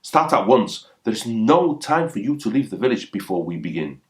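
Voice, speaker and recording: A man's voice: fairly low pitch, very animated, moderate pace, voice pushed up. A man voicing a rich, jealous, angry rival who issues imperious challenges in a storybook tale. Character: rich, furious, jealous brother-in-law rival.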